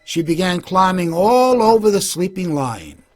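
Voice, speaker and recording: A man's voice: sits high, very animated, a little slow, darkish tone, soft background music. A man narrating a scripted children's story for English learners.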